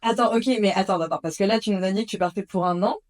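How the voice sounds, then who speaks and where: Young woman host, mid-pitch, laid-back, lots of euh, just chatting. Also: fast talker. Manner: relaxed, hesitant with fillers. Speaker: podcast host. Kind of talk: casual conversation.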